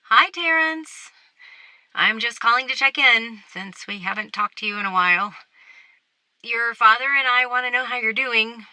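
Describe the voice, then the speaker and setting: Caring mom on voicemail, very sharp bright tone, slightly high, dry sound, tentative. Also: female voice, moderate pace, no echo, rough recording. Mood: concerned. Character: gently concerned mother leaving a voicemail for her son. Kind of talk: audio drama acting.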